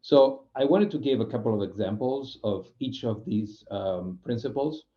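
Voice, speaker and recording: A man with a Spanish accent explains design ideas in a loose, unscripted talk, his pitch sitting in the middle range. He often breaks off and restarts his sentences while he hunts for the right word. The recording sounds a little dull and muffled, like online talk audio. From Spain. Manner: halting, restarts phrases, searches for words. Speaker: UX program manager giving a guest talk. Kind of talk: informal explanatory presentation.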